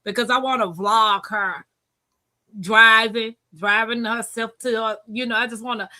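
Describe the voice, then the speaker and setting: A woman's voice: a little high, steady tone, a little slow, bright, forceful tone. A woman, chatting casually and telling stories as a co-host on a group live stream. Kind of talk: casual group chat, storytelling.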